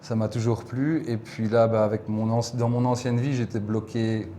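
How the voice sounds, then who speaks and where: A man's voice: mid-range voice, flat delivery, normal speed, mellow, a little hiss or hum. A man in his forties from Belgium with a Belgian French accent, a guest chatting casually and reflectively in an interview, laughing easily. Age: 40s.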